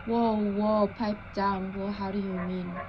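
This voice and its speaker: A woman's voice: mid-range voice, flat delivery, unhurried, crowd noise. A young woman co-hosting a scripted 1920s-style radio news show, speaking theatrically with period slang. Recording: muffled lo-fi audio with music behind. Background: music.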